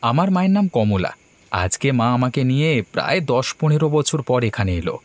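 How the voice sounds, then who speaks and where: An actor playing a polite, uneasy young man visiting a village in an animated horror story. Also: mid-range voice, fairly quick, no room sound, close to an everyday voice.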